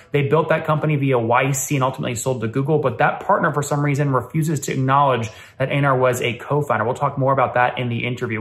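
A man's voice: medium pitch, fairly bright tone. A man, presenting a show introduction to camera in a home office. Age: 30s. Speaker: show host. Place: small home office with bookshelves.

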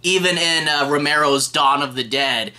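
A man's voice: a little high, normal speed, sharp tone. A man in his thirties chatting enthusiastically and casually to camera as a film reviewer. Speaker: movie reviewer, host. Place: small room lined with DVD shelves.

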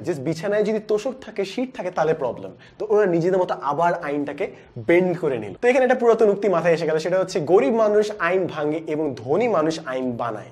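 A man in his twenties with a Bangladeshi accent, presenting a book review in an animated, explanatory way with English words mixed in. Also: high voice. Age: 20s. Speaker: book reviewer, educational video host. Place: indoor studio with plain backdrop. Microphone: lapel mic.

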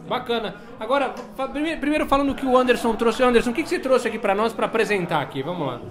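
A man's voice: very high voice, lively intonation, quick pace, crowd noise. A man from Brazil hosting a collectors' chat, steering it with short prompts and questions. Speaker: host. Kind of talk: casual panel chat. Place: indoor room, seated at a table of toys.

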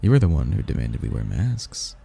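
A man's voice: extremely low pitch, sing-song, fairly quick, gravelly, mellow, slightly rough, full character voice. A man playing a charming, teasing mysterious stranger at a royal ball in a romantic ASMR roleplay, speaking in a playful, flirtatious way.

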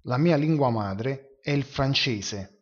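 Slow, deliberate male teacher. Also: medium pitch, animated, highs cut off.

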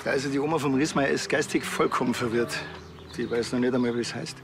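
An actor playing a deadpan, bossy village policeman with a Bavarian accent and a terse way of talking. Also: mid-range voice, fast talker, gravelly, over music, close to an everyday voice. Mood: matter-of-fact. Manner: dry, terse. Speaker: policeman character. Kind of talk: naturalistic TV crime comedy acting.

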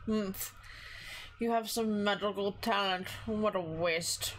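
A woman's voice: mid-range voice, slow, slight background noise, lightly acted, rough recording. A woman voicing a contemptuous male school official in a disdainful, clipped, threatening manner, with music behind. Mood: scornful.

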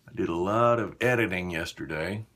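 A man in his fifties chatting casually and haltingly to viewers in a small bathroom while busy with a task. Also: deep voice, a bit bright. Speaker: shaving vlogger.

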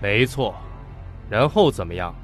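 An actor playing a curt, commanding, crooked company president with a sharp tongue in an audio drama. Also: male voice, fairly low pitch, animated, a little slow, music in the background. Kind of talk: Chinese audio drama acting.

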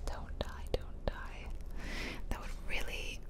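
A woman whispering softly and close to the mic as she gives chatty ASMR commentary over a video game, half muttering to herself. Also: takes it slowly. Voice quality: soft unvoiced whisper. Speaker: gameplay video host. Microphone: close stereo mic, ear-to-ear whispering.

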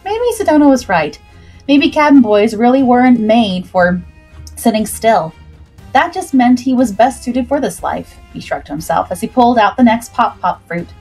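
Woman reading an adventure story on stream, expressive, voice on the high side, soft music behind. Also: breathy edge, low-quality recording. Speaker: storyteller, livestream host. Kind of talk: expressive scripted story narration.

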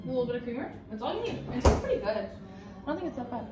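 A young mother talks in a slightly high voice, easygoing and practical as she chats in her kitchen. The sound is roomy and picked up from across the room, with music playing clearly behind her. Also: very animated, fast talker, far from the mic. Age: young adult. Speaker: mother and streamer. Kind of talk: casual chat. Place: home kitchen, indoors. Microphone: far camera.

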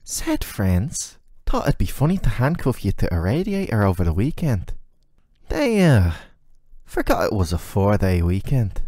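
A man's voice: lowish voice, wildly swooping pitch, a little slow, warm tone, no room sound, studio quality, full character voice. A man voicing a flirty, sardonic, ageless male demon who teases the listener in casual, slangy, intimate roleplay audio. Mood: amused, casual.

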